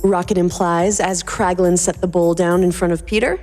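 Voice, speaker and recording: A woman's voice: fairly low pitch, fairly even intonation, moderate pace, darkish tone, faint music behind. A woman reading scripted story narration expressively as a podcast host.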